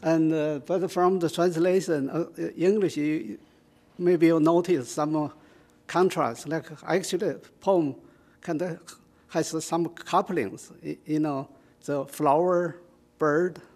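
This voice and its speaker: A retired older man with a Chinese accent, halting and full of fillers, introducing and explaining a poem to a small audience. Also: on the high side, fairly even intonation, a little mellow. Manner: halting, with many fillers and restarts. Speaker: poetry reader. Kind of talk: informal reading and explanation at a community event. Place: small community room with an audience. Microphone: stand microphone.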